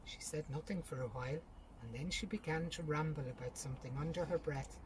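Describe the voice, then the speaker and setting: A woman's voice: deep voice, brisk, background noise, close to an everyday voice. A woman voicing a practical, bossy, consoling sister in a short story. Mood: matter-of-fact.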